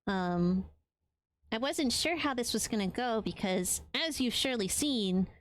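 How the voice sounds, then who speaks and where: A woman from Latin America wrapping up a long game stream, her voice sitting a little higher than most. She talks casually and warmly to her viewers, often filling gaps with ums. Her voice sounds tired after hours of talking. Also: animated, a little slow, slight background noise. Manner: chatty and affectionate, frequent ums. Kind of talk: casual chat with live viewers.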